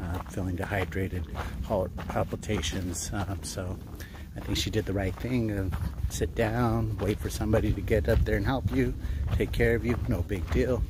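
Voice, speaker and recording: A man's voice: lowish voice, steady tone, normal speed, background noise. Middle-aged male hiker, casual, worn out, filler-heavy, phone at arm's length outside. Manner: tired, chatty, many fillers, laughs easily. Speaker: hiking vlogger. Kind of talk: casual hiking vlog. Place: outdoors on a mountain trail. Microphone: handheld phone camera at arm's length.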